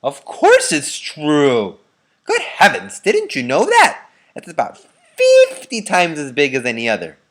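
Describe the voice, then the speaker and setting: A man's voice: fairly high pitch, huge pitch swings, unhurried, a bit bright, voice pushed up, full character voice. A man voicing a very old grandfather in a children's storybook, eager and boastful, speaking in emphatic exclamations. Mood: excited, amazed. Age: nineties. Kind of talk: children's storybook read-aloud.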